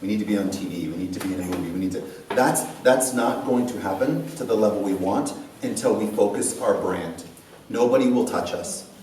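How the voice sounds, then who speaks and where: Animated man talking in an echoey room. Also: lowish voice, brisk, recorded from a distance, a little hiss or hum. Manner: animated, laughing often, repeats words. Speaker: dance instructor and show co-host. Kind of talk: casual talk to a live audience. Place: event room with a live audience.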